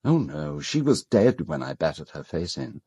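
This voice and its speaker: An actor with a British accent playing an urbane, cold, self-justifying gentleman in an audio drama, speaking in a measured, articulate, dryly ironic way. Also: on the low side, very animated, creaky, breathy edge, dry recording, clean studio sound, heavily acted. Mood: smooth, self-justifying.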